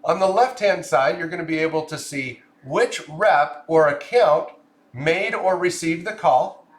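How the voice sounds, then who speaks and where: A man presenting a software tutorial, explaining clearly step by step in a scripted narration style. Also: fairly high pitch, roomy sound.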